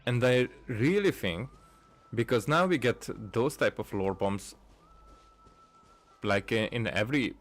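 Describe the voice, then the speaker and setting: A man giving casual gameplay commentary, rambling and thinking aloud with halting phrases. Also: medium pitch, animated. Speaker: gaming streamer. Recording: game music behind the voice. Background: video game music.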